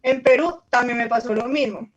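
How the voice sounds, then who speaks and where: A woman journalist with a marked Colombian accent, telling travel anecdotes in a relaxed conversational way with frequent fillers. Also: moderate pace. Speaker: journalist guest. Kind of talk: conversational storytelling. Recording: muffled low-fidelity audio.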